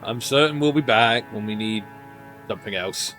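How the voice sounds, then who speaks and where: A man's voice: fairly low pitch, moderate pace, pushed and bright, soft background music. A man chatting casually and playfully as he streams a video game. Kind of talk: casual game chat and voiced read-aloud of dialogue.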